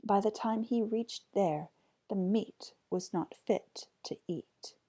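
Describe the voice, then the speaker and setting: A woman reading a folk tale aloud as an expressive storyteller. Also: medium pitch, takes it slowly.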